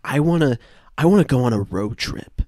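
A man's voice: mid-range voice, lively intonation, normal speed, a little mellow, no room sound, natural voice. A man playing an earnest teenage girl who sounds sincere and a little hesitant, in improvised comedy. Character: earnest teenage girl wanting to find herself.

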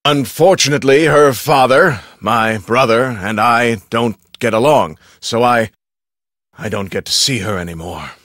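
An actor playing an irascible, self-important middle-aged director of a research facility in a video game, with a pompous, exacting, clipped delivery. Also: male voice, mid-range voice, unhurried, fairly bright tone, no echo, clean studio sound, close to an everyday voice. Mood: softened, condescending. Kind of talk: video game character acting.

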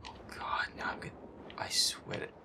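A man's voice: moderate pace, close to an everyday voice. A man as a whispery, grumpy gamer boyfriend. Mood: grumbling. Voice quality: breathy, often whispered. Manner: muttering, sweary, exasperated. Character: feisty, grumpy werewolf boyfriend playing a scary video game. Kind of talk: boyfriend audio roleplay as gameplay commentary.

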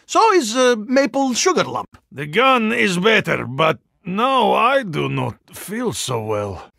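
A male actor playing a huge, earnest, courteous Russian yeti in a video game, with a Russian accent, gravelly voice and formal, stilted phrasing. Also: sits high, wildly swooping pitch, takes it slowly, pushed and bright, no room sound, clean studio sound, full character voice. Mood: earnest, dry. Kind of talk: video game character voice lines.

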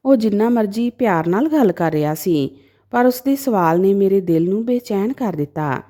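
Expressive woman storyteller with lively pitch. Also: moderate pace. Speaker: story narrator. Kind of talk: dramatic first-person story narration.

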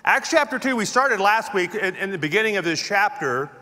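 A man's voice: high-pitched, expressive, fairly quick, pushed and bright. A middle-aged man preaching a sermon as pastor on a large church stage, chatty and joking. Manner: chatty and joking, laughing at his own jokes. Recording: reverberant church auditorium.

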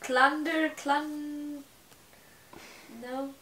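High-voiced woman teasing from off-mic. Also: little pitch movement, extremely slow, sharp tone, roomy sound, slight background noise. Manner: teasing, playful interjections. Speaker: travel companion off camera.

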